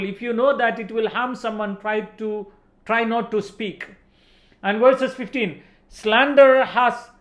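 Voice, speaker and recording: A man's voice: very high-pitched, echoey room. A man in his forties with an Indian accent preaching emphatically in a motivational religious talk, repeating phrases for stress. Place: indoor studio set.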